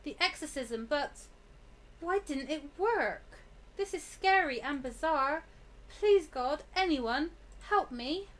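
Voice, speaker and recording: A woman's voice: high voice, animated, slow pace. A woman voicing the frightened young protagonist of a horror visual novel, dramatic and anxious. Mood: distressed.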